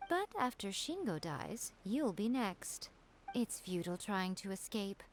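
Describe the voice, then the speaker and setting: A woman's voice: medium pitch, sing-song, unhurried, slight background noise. A woman playing a teasing, self-assured shrine priestess in an anime video game, sarcastic and theatrical. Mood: mock sympathy, ominous.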